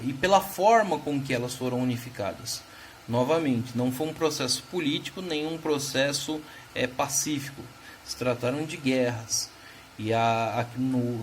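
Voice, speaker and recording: A man's voice: mid-range voice, fairly bright tone, a little hiss or hum. A Brazilian man teaching a recorded history lesson, explaining patiently and often checking in with his students. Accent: Brazilian Portuguese.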